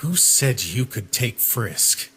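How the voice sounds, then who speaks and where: Man acting a proud young goat prince, unhurried. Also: mid-range voice, sing-song. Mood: protective, firm. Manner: haughty, earnest, commanding. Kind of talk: fan-dub comic voice acting. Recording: voice over background music.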